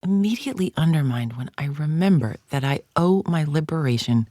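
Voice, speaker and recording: Proud alien warrior woman, low voice, stiff formal diction, cold and haughty, video game champion, very dry recording. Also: expressive, fairly quick, dark tone, no echo. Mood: solemn, measured. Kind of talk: scripted video game character lines.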